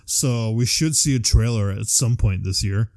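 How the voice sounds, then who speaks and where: A man co-hosting a casual conversation, speaking like an enthusiastic, animated fan who swears casually. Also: lowish voice, normal speed. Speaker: podcast co-host. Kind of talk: casual chat about video game movies.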